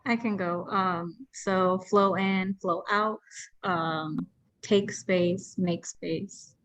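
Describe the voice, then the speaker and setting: Slow, halting woman on a video call. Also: mid-range voice, steady tone. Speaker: workshop participant. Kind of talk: casual, thinking aloud in an online session. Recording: dull, lo-fi video call audio.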